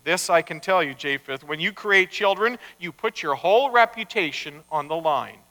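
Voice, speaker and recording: A man's voice: high-pitched, lively intonation, normal speed, bright, forceful tone, full character voice. A man voicing a blunt, fatherly God with an authoritative, sardonic manner in a told story. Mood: wry, commanding. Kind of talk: live storytelling. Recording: echoey hall, long reverb.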